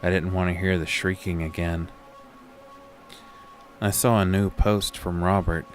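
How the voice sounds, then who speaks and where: Very deep, slow horror narrator, acted by a man. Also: flat delivery, faint music behind. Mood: uneasy. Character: haunted man recounting a horror, weary and uneasy. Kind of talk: scripted first-person horror audio drama narration.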